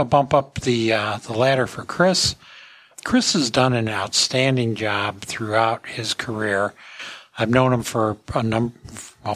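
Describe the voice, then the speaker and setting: A man's voice: mid-range voice, fairly bright tone, dry recording. A man hosting an industry podcast in a casual talking style. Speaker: podcast host.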